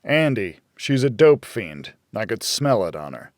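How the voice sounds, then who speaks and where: A man's voice: on the low side, very animated, unhurried, no echo. Man voicing a terse, weary hardboiled loner, very dry sound. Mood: curt, guarded. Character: gruff, weary, stubborn male loner werewolf narrator. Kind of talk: hardboiled noir audiobook narration.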